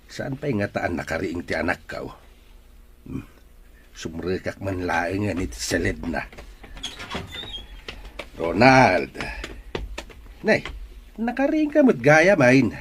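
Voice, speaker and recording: A man's voice: lowish voice, sing-song, slow, pauses often, a little hiss or hum. A man playing a worried, tender father in a melodrama, coaxing his troubled son with earnest feeling. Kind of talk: Ilocano melodrama acting.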